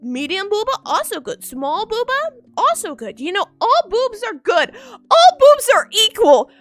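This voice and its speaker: Woman streamer, bright high voice bouncing all over, giggly groggy chat, soft music under it. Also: big pitch swings, measured pace, dry recording. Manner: playful, giggly, repeats herself a lot. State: just woken up, groggy. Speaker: VTuber streamer. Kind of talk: casual chat stream talking to viewers. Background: faint background music.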